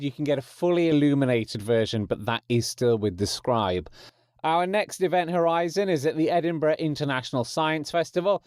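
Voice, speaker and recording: A man's voice: a little high, a little mellow, clean tone, dry recording, studio quality. A man hosting a podcast, reading informal promotional announcements with wry, jokey asides. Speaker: podcast host.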